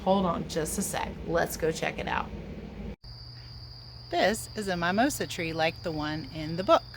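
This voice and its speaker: A woman's voice: fairly low pitch, measured pace, background noise. A woman reading and explaining to young viewers as a children's read-aloud teacher and host.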